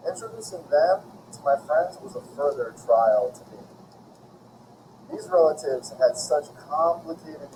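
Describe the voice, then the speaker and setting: A man reading a story aloud expressively, with deliberate, animated phrasing and dramatic pauses. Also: a little high, poor audio. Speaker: reader. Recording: faint background noise.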